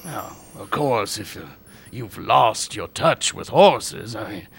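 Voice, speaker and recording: An actor playing a sly, menacing male gravedigger who bargains slowly and sinisterly in a radio drama. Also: fairly low pitch, animated, fairly bright tone, heavily acted. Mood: wheedling. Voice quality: gravelly, husky. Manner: slow, wheedling, sinister.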